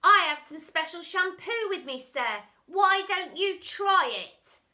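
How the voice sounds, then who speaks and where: A woman's voice: extremely high pitch, expressive, takes it slowly, pushed and bright, pitched above the performer's own voice, exaggerated voice. A woman voicing a cheeky, filthy schoolboy in a storybook, exclaiming with eager glee.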